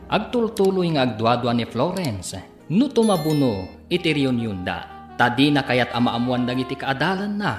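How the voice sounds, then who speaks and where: A man with an Ilocano Filipino accent narrating and announcing a radio drama in a dramatic scripted style. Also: mid-range voice. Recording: echoey voice over loud music. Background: guitar and instrumental music.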